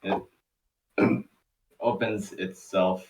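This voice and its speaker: A man's voice: deep voice, fairly even intonation, drawn-out words, a little mellow, far from the mic, rough recording. A man narrating stage directions for a cartoon table read in a scripted storytelling style.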